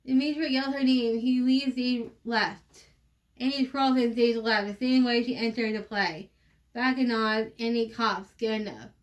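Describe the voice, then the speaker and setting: High-voiced woman reading informally, slightly distant. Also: fairly even intonation, dull audio. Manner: halting, self-correcting. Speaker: writer and reader of own play. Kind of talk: informal play reading with stage directions.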